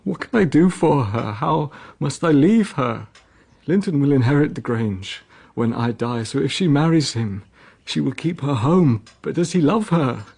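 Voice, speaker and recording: An actor playing an ailing, weary gentleman father in a period audio drama, grave and deliberate, gentle but anxious and protective. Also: mid-range voice, lively intonation, vocal fry, slightly rough, heavily acted. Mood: weary, fearful.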